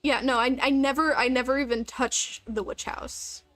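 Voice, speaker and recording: Higher-voiced woman rambling on a gaming stream. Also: fairly even intonation, moderate pace, a bit bright, dry recording, faint music behind. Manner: rambling, casual swearing. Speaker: livestreamer. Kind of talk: casual gaming livestream chat.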